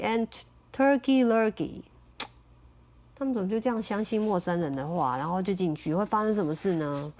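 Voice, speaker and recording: A woman's voice: high-pitched, darkish tone. A native Mandarin-speaking woman reading a picture book aloud expressively, with chatty asides as a parent would. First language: Mandarin Chinese.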